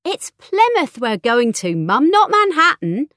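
A woman's voice: high-pitched, very animated, unhurried, a bit bright, dry recording, clean studio sound, voice pushed up, full character voice. A woman voicing a cheeky, breezy eighteen-year-old daughter with a childishly wheedling streak in an audiobook. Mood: exasperated. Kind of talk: audiobook character dialogue.

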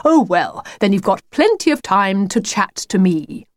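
A woman's voice: mid-range voice, very animated, a little slow, dry recording. A woman voicing an effusive, fussy male talking wolf in a children's story, grandiose and chummy. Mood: cheerful, chatty. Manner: grandiose, fussy, old-fashioned endearments. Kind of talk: children's storybook character reading.

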